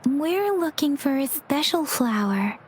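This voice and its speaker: A woman playing a calm, earnest, gently concerned young woman in an English video game dub, with measured delivery. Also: high voice, a little hiss or hum.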